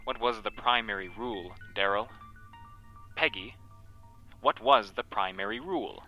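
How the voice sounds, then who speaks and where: Sinister courteous benefactor, precise diction, fairly low, forceful bright tone, radio drama, faint music. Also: male voice, unhurried. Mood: stern, menacing. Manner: formal, precise, commanding. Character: Cyrus, courteous, formal, sinister male benefactor. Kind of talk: audio drama acting.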